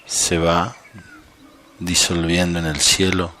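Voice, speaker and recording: A man leading a guided meditation as a coach, speaking calmly and without hurry, with long pauses between short instructions. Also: deep voice. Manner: calm, unhurried, leaves long pauses.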